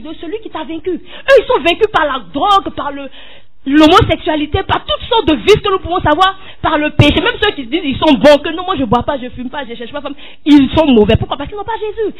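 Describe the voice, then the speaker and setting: A woman's voice: high-pitched, a bit bright, poor audio. A woman preaching an impassioned sermon, tossing questions to the congregation and rising to cries.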